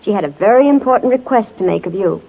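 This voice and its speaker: An actress playing an amused, wry and obliging doctor's assistant in a 1940s radio drama. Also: female voice, mid-range voice, animated, quick pace, gravelly, breathy edge. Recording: muffled old radio recording.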